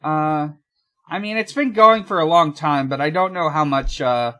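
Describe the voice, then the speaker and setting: A podcast host talking casually in a halting, hesitant way, often pausing and trailing off. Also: medium pitch, pushed and bright. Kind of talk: casual podcast discussion and recap.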